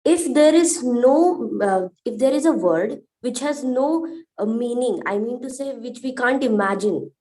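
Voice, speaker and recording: A woman student politely asking her teacher a question over a video call, halting and rephrasing as she speaks. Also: on the high side, lively intonation, dark tone, low-quality recording.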